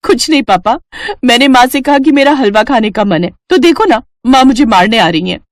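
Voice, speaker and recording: Young Indian daughter acted by a woman, pitched up, bouncy intonation, pert and pleading, for family story dialogue. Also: lively intonation, a little fast, no echo, studio quality, lightly acted. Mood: playful. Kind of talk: animated Hindi moral story dialogue.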